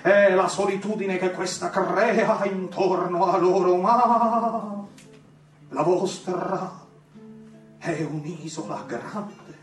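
An actor playing a self-adoring general and dictator in a stage play, orating grandly and haltingly with sudden swells. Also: male voice, high-pitched, faint music behind. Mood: falsely humble. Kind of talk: theatrical stage speech. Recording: echoey theatre stage, distant microphone.

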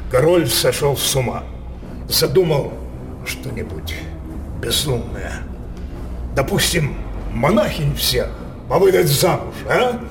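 An actor playing a scheming, depraved king in a theatrical radio drama, declaiming imperiously with teasing pauses. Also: mid-range voice, sing-song, background noise. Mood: sly, provocative. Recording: studio radio play over loud music.

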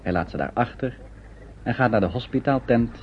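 A man's voice: medium pitch, animated, normal speed. A man narrating a radio play from a script as its storyteller. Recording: dull noisy old radio recording.

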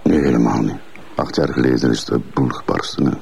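A man playing a haughty, defensive middle-aged bailiff father with a Flemish accent, gravelly and husky, denying accusations haltingly. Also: rumbling low, darkish tone, a little hiss or hum, exaggerated voice. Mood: defensive, curt. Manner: halting, repetitive denials.

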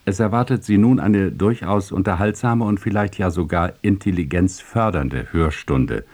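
A man's voice: low voice, moderate pace, darkish tone. A man giving a scripted introduction as a radio announcer.

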